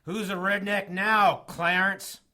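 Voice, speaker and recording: Casting: a hot-headed redneck drunk for audio drama, high-pitched, sharp and threatening. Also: male voice, flat delivery, takes it slowly, full character voice. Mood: belligerent. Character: belligerent, drunken male redneck bar brawler.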